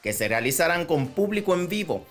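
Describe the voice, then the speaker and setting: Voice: medium pitch, big pitch swings, fairly quick. Una voz de radio de tono medio que presenta noticias de farándula y patrocinios en un programa matinal, hablando con cercanía al público. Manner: chatty, conversational asides to co-host and audience. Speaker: radio host. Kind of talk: entertainment news and sponsor reads on a morning radio show. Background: background music.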